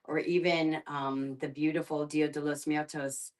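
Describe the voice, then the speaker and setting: Low-voiced woman, reflective, off the mic. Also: little pitch movement, measured pace, distant mic. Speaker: guest facilitator and documentary filmmaker. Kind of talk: reflective group discussion.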